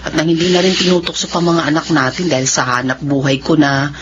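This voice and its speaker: A woman's voice: deep voice, steady tone, fairly quick, muffled recording, background noise, close to an everyday voice. A woman playing a devoted working mother in a radio-style family drama, speaking expressively.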